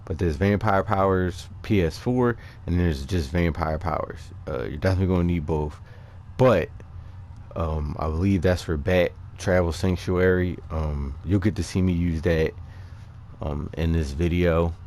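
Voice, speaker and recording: A man giving casual, unscripted gaming commentary in a halting, informal way as he walks viewers through his character setup. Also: low voice, a little mellow. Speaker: gaming video creator presenting character builds.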